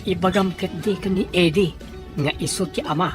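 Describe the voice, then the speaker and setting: A woman voicing a pushy confidante who urges a scheme in low, conspiratorial advice, in an audio drama. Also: normal speed, close to an everyday voice. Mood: conspiring. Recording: clear music behind.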